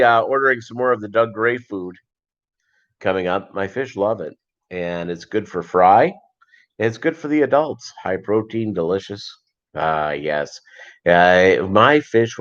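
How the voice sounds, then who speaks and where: A middle-aged American man chatting casually and unscripted on a livestream, rambling and halting with a jokey manner. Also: on the low side. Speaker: fishkeeping livestream host and voiceover performer. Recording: dull lo-fi livestream audio.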